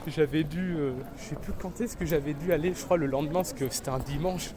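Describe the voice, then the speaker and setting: A man's voice: a little high, brisk. A young man casually vlogging while he walks through a city, rambling in crude slang. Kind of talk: casual walking vlog. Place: city streets outdoors. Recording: outdoor street noise. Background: traffic and street noise.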